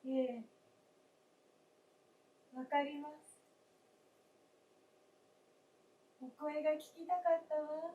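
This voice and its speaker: A woman playing a snow doll of a gentle, wistful young late wife in a staged radio drama, speaking softly and politely. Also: high-pitched, steady tone, highs cut off. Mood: tender. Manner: soft, polite, unhurried, old-fashioned feminine speech. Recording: roomy stage, distant mic, faint noise.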